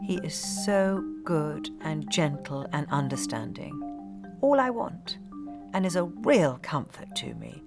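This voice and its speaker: A slow, low-voiced elderly queen. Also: wildly swooping pitch, music in the background, heavily acted. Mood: fond, tender. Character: Queen Victoria, elderly, fond and earnest, reading her letters. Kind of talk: dramatic reading of letters.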